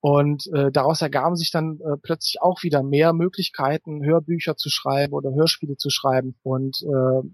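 A man's voice: fairly high pitch, steady tone, moderate pace, few pauses, no room sound. A man answering questions conversationally as an author being interviewed. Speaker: author interview guest, writer of novels and audio dramas.

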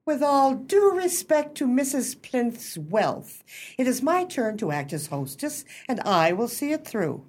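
Pompous, high-voiced society hostess. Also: female voice, very animated, a little slow, a little mellow. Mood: proud, insistent. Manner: formal, pompous, precise. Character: proud, bossy society hostess of a ladies' club. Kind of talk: stage-style radio play acting.

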